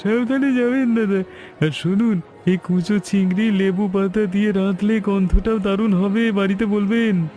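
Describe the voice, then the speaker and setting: A man's voice: high voice, steady tone, normal speed, dark tone, faint music behind, natural voice. A man playing a helpful, courteous village fishmonger in a cartoon story. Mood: friendly, reassuring.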